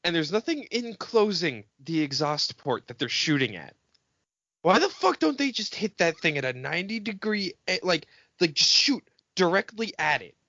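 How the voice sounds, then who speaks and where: A man co-hosting a podcast, chatting casually about films in an excitable, expressive, sweary way. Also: high-pitched, normal speed, creaky, no echo, muffled recording. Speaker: podcast co-host. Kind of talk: casual film chat among friends.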